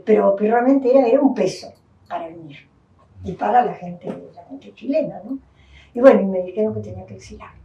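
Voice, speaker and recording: A woman's voice: medium pitch, dark tone, muffled recording. Older Uruguayan woman, distant roomy sound. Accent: Uruguayan Spanish. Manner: thoughtful, with many restarts and fillers. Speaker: interviewee. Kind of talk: reflective personal testimony.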